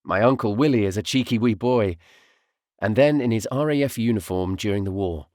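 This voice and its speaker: A man reading a first-person audiobook narration in a measured storytelling way, in short, deliberate phrases. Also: fairly low pitch, a little mellow, dry recording, clean studio sound.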